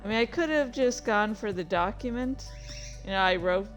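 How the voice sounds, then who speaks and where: A woman streamer chatting casually about her own writing in a halting, rambling, self-deprecating way. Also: mid-range voice, lively intonation, soft background music. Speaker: streamer and fan fiction author. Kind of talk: casual livestream chat.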